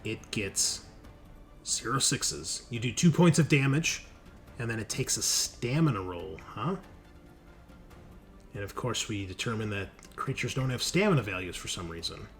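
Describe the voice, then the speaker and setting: A man's voice: fairly low pitch, very animated, measured pace. A man running a tabletop game as game master, informal and sweary, thinking aloud as he goes. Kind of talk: casual tabletop game refereeing. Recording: voice over constant music. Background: music.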